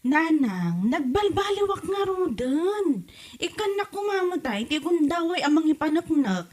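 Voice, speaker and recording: A woman's voice: very high voice, big pitch swings, unhurried, darkish tone, heavily acted. A woman with an Ilocano accent playing a young, defensive daughter who pleads and protests in a comedy drama. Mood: pleading, defensive.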